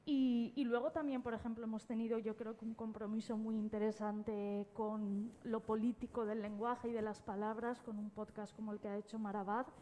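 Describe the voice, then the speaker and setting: A woman's voice: a little high, flat delivery, moderate pace, hardly any pauses, warm tone, a little hiss or hum. A woman from explaining things as a panelist, thinking aloud and repeating words as she goes. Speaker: podcast editor, panelist. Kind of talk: spontaneous panel discussion. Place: live panel at a festival event.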